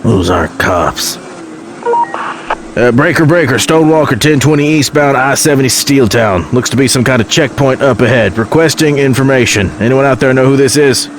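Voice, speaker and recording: An actor playing a wry, cool-headed long-haul trucker who talks in folksy slang. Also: male voice, mid-range voice, moderate pace, close to an everyday voice. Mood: calm, wary, then businesslike radio talk. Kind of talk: naturalistic audio drama dialogue. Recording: noisy truck cab with engine. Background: vehicle engine.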